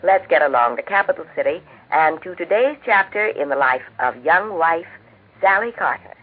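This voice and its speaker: A woman's voice: lowish voice, lively intonation, moderate pace, slightly rough. A woman hosting a 1940s radio program, narrating from a script in a polished, cheerful manner. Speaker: radio host and story narrator. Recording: tinny, muffled 1940s radio recording.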